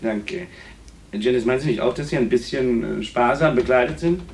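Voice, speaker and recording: A man playing a gruff, prim, commanding police captain in a radio play, with a sarcastic edge. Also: medium pitch, normal speed, darkish tone, slight background noise, lightly acted. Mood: bossy, disapproving.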